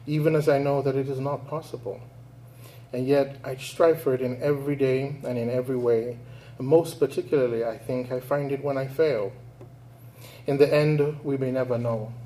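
A man's voice: mid-range voice, dark tone, low-quality recording. Thoughtful man from Nigeria telling stories. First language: English and Igbo. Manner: thoughtful, storytelling delivery. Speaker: writer giving a public talk. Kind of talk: reading prose aloud, then audience questions.

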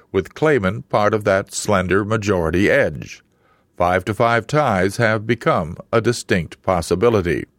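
Low-voiced male radio news reporter. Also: no room sound, studio quality. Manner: measured, even newsreader delivery.

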